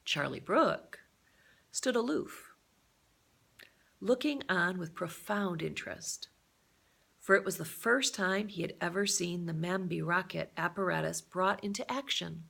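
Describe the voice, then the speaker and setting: Female storyteller for read-aloud fiction, bright forceful tone on a lowish pitch, expressive and deliberate. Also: vocal fry. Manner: expressive, deliberate delivery with dramatic emphasis. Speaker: storyteller reading a novel aloud.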